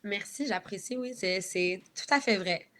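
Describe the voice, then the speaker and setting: A woman's voice: mid-range voice, moderate pace, fairly bright tone. A woman with a Quebec French accent, chatting casually as a guest singer in an interview.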